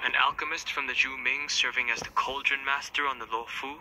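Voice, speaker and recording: An actor voicing a serious, guarded young man in a video game, speaking in a measured, formal, analytical way. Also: mid-range voice, steady tone, heavy background noise, close to an everyday voice. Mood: suspicious. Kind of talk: English video game voice acting. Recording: game music behind the voice.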